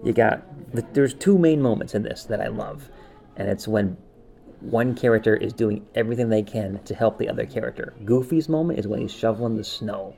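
A man's voice: lowish voice, a little fast, gravelly, dark tone. A man co-hosting a podcast in casual chat, enthusiastic and repeating words for emphasis. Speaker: podcast co-host. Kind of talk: casual chat ranking favorite cartoon specials.

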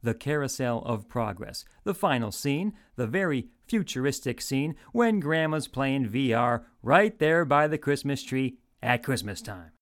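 A man's voice: mid-range voice, very animated, measured pace, few pauses. A man hosting an old-time radio game show, announcing like a showman and addressing listeners directly.

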